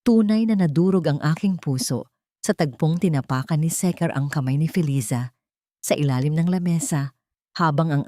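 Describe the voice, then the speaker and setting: Female Filipino audiobook narrator: low register, relaxed mellow tone, solemn and deliberate dramatic storytelling. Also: dry recording, clean studio sound.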